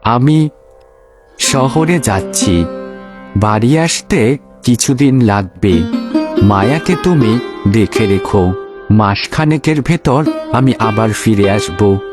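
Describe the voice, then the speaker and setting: Gentle cartoon father, played by a man: low voice, swooping melody, soothing and earnest. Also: expressive, slow pace, pauses often, music in the background. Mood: caring. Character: gentle, trusting village father. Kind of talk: Bengali cartoon voice acting.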